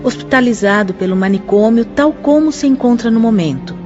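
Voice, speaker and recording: A woman's voice: fairly high pitch, expressive, brisk, close to an everyday voice. An actress playing a solemn, earnest female spirit instructor in a Brazilian radio drama, with formal, declamatory delivery. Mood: solemn, instructive. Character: solemn female spirit instructor, grave maternal moral guide. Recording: slightly dull audio with music underneath. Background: background music.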